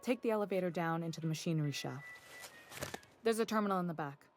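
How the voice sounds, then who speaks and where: A woman playing a low, dry station chief. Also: fast talker, a little hiss or hum, lightly acted. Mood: dry approval. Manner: composed, dry, formal, commanding. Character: dry, weary, exacting female space station chief engineer. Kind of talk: video game dialogue acting.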